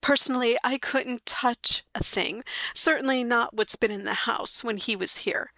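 A woman voicing a soft-spoken, grieving housewife who gently coaxes the men around her, drawing out her words. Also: a little high, animated, no echo, voice pushed up. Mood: sweetly pleading. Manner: gentle pleading, drawn-out words.

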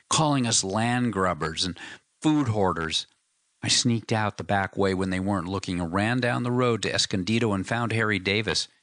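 A grieving young farm wife who speaks through tears, her voice faltering, as a male narrator voices her. The voice stays low with a gravelly edge, recorded close in a dry booth with no room sound. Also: fairly bright tone, dry recording. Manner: tearful, faltering delivery. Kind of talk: audiobook character reading.

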